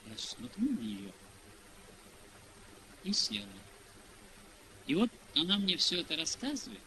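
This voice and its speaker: A man's voice: sits high, huge pitch swings, unhurried, pushed and bright. A man preaching in a conversational storytelling style, asking rhetorical questions and acting out bits of dialogue, on a hissy recording. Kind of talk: sermon told through anecdotes and dialogue. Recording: hissy, noisy amateur audio recording.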